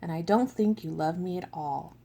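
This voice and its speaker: A woman reading the lines of a small, grumpy young child in a picture book, in a soft, slow storytime voice. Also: on the low side, vocal fry, slightly rough.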